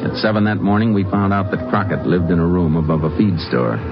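An American actor playing a calm, terse Texas Ranger who narrates his case in a clipped 1950s radio drama style. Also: sits low, fast talker, close to an everyday voice. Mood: matter-of-fact. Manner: clipped, matter-of-fact. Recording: dull old radio recording, music.

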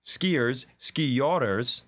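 A man co-hosting a comedy podcast in casual, profane banter, telling things in an animated way. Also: mid-range voice, drawn-out words.

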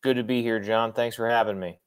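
A man's voice: lowish voice, steady tone, brisk, clean tone. A man giving casual, emphatic sports analysis as a guest on a podcast.

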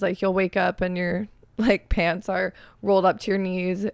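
A young woman in her twenties hosting her own podcast in a casual, chatty way, her voice raspy because she is losing it. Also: medium pitch, dry recording. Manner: chatty, filler-heavy, frank. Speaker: podcast host. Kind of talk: casual solo podcast chat.

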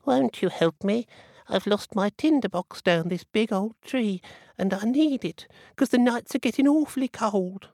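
A man voicing a scheming old woman with a high, wailing, slightly annoying voice, in a storybook reading. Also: measured pace, creaky, a little mellow, no room sound, clean studio sound, full character voice. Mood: pleading.

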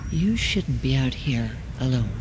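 An actress playing a composed, insistent woman in a naturalistic audio drama, gently coaxing and persistent. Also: extremely low pitch, big pitch swings, a little slow, noisy background, full character voice. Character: composed, insistent woman inviting someone in from the cold.

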